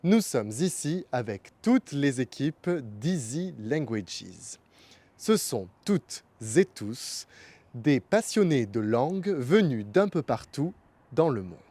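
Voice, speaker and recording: A man presents a video episode, his voice rising and falling with lively pitch. He speaks slowly and deliberately, close and dry, with faint music underneath. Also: no room sound. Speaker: host. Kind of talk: scripted intro.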